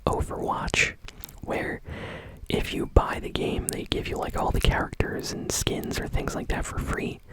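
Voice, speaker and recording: A woman hosting ASMR gaming news in a soft whisper, speaking casually and hesitantly, as if unscripted. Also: rough recording.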